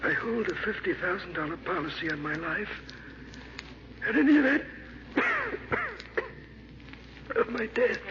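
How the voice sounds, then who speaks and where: An actor playing a dying, courteous pauper with a sickly, halting, formal way of speaking in an old radio drama. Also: male voice, sits high, heavily acted. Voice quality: sickly, coughing between phrases. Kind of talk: 1940s radio drama acting. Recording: muffled, noisy old radio recording.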